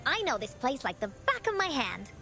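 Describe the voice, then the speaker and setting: A cheerful, imaginative little girl in a video game. The voice is tiny and very high, leaping around with bubbly, childlike eagerness. Music runs underneath. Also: sing-song, brisk, bright, forceful tone, full character voice. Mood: eager, cheerful. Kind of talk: video game character acting.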